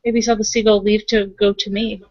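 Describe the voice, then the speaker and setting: A woman's voice: medium pitch, flat delivery, a little fast, a little mellow, no echo, highs cut off. A woman improvising casually as a player in a role-playing game, tentative and thinking aloud. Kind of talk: casual improvised in-character chat.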